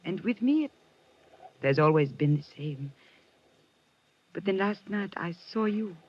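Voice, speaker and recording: Slow, sultry femme fatale. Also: female voice, fairly low pitch, animated. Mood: seductive, wistful. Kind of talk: 1950s radio drama acting. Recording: muffled old radio recording.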